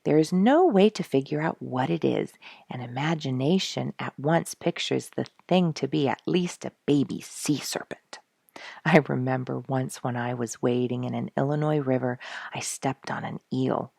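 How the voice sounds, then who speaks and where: Lady w low gravelly voice reading a storybook out loud, expressive, super dry recording. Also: normal speed, no echo. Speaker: storyteller reading a book chapter aloud.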